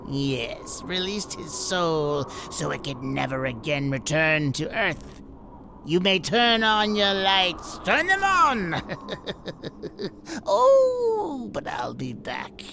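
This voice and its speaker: An actor playing a gleeful old hermit who narrates radio horror tales in a creaky voice, with noise behind him. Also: male voice, sits high, big pitch swings, slow, full character voice. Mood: manic glee.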